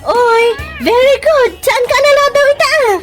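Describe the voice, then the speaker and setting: A woman's voice: very high-pitched, moderate pace. A woman with an Ilocano Filipino accent playing a chatty, nagging workmate in a comedy radio drama. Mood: pleased, advising. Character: chatty, nagging female workmate giving advice. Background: soft background music.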